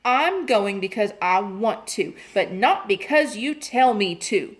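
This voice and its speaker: A woman's voice: on the low side, moderate pace, lightly acted. A woman reading a storybook aloud and voicing a pouty little girl who answers back to the grown-ups. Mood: defiant. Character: sassy, impudent little girl.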